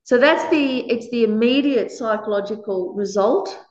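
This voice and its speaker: Loud, laughing woman teacher. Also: fairly high pitch, normal speed. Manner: frank, laughs often between points. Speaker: Buddhist teacher. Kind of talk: dharma talk answering questions. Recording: roomy, slightly dull recording.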